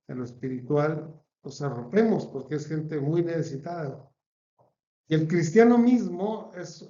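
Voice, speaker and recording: A man's voice: on the high side, dark tone, reverberant, muffled recording. A man with a Mexican accent preaching a sermon in an earnest cadence, stacking phrases one after another. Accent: Mexican Spanish. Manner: earnest, repetitive listing cadence.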